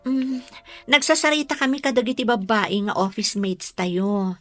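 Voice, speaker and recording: A woman's voice: a little high, lively intonation, moderate pace, fairly bright tone, no echo, faint music behind. Young office gal in an ilocano radio drama, fed up with her sweet boyfriend, dry close sound. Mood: weary.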